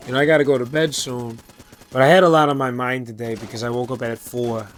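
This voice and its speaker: A young man in his twenties whose voice is hoarse and raspy, worn down from shouting. He talks in a loose, halting late-night monologue, circling back with fillers and restarts and swearing casually. His voice sits at an ordinary middle pitch for a man. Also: fairly even intonation, slight background noise. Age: 20s. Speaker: channel host.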